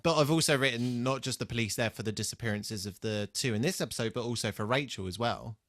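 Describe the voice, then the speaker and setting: A man's voice: mid-range voice, fast talker, a bit bright, no room sound, studio quality. A man co-hosting a podcast, talking casually through episode notes in a hesitant way with the odd swear word. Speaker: podcast co-host.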